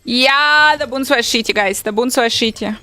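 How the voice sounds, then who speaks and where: A woman's voice: fairly high pitch, moderate pace, sharp tone, no room sound. A young woman from Moldova whose first language is Russian, chatting bluntly and swearing casually while she livestreams a game. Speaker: streamer. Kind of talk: casual live gaming stream chat.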